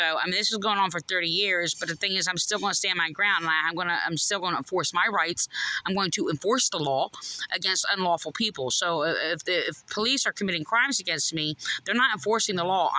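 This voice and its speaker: A woman's voice: lowish voice, fast, bright, forceful tone, no echo, poor audio. A middle-aged American woman speaking in a defiant, insistent, repetitive way, in an impassioned personal monologue. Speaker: caller.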